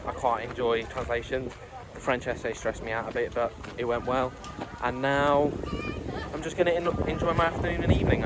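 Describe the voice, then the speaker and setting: A man's voice: medium pitch, slight background noise. A young man, giving frank, easygoing video diary updates to a handheld camera. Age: young adult. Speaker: student vlogger.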